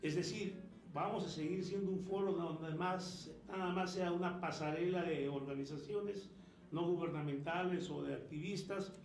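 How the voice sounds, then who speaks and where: A man's voice: fairly high pitch, steady tone, normal speed, a little mellow, roomy sound, soft background music, poor audio. A middle-aged or older man from Peru who has long lived in Mexico, explaining things earnestly and emphatically as an interview guest. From Peru, long resident in Mexico. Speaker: interview guest, human rights lawyer.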